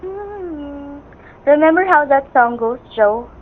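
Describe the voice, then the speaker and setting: Chatty, high-voiced wife, old radio. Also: female voice, animated, takes it slowly, phone-quality sound. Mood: affectionate, light. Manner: chatty, homey, affectionate. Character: chatty, sweet-natured wife who likes musicals. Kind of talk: old-time radio drama acting. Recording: band-limited old radio recording.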